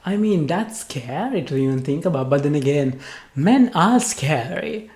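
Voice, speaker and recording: A man's voice: a little high, animated, a little slow, a little mellow. A man co-hosting a podcast in casual conversation, feeling his way through his words. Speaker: podcast co-host. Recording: roomy, echoing sound.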